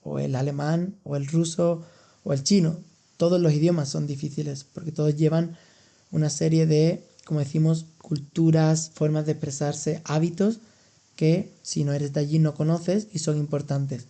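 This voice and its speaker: A man's voice: fairly high pitch, fairly even intonation, moderate pace, gravelly, warm tone. A young man, hosting a casual language-learning podcast and explaining expressions patiently with examples. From Andalusia, Spain. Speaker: podcast host and language teacher. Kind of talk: casual educational chat.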